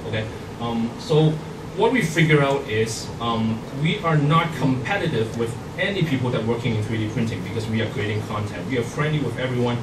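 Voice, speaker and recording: A middle-aged man from Hong Kong giving a workshop presentation, speaking haltingly with many fillers and restarts. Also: mid-range voice, lively intonation. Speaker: guest speaker. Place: conference hall. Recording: echoey hall, far from mic, noisy.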